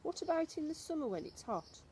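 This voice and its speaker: A woman's voice: high voice, sing-song, fairly quick, a little mellow, a little hiss or hum, pitched above the performer's own voice. A woman voicing a curious, eager young grandchild who questions things with disbelief in a storybook reading. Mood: curious, incredulous. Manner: questioning, incredulous.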